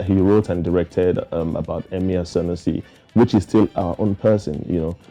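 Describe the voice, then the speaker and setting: A Nigerian man speaks as an interview guest in a low, very soft and dark voice. He explains his points thoughtfully, repeating words for emphasis. Faint music sits behind him. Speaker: guest theatre practitioner.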